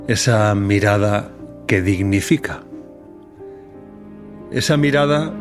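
A middle-aged man hosting a podcast, speaking in a slow, deliberate, reflective way. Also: lowish voice. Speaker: podcast host. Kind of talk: reflective monologue and interview.

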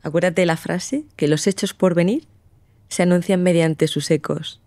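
A woman's voice: on the low side, steady tone, normal speed, warm tone, no echo. Emphatic woman, dry close sound. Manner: emphatic, passionate, debating. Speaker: guest. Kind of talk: conversational interview.

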